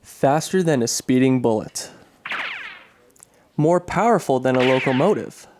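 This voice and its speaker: A man's voice: medium pitch, expressive, unhurried, background noise, lightly acted. A man playing a dramatic, declamatory narrator of a superhero serial, in scripted old-time radio style. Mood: dramatic, urgent.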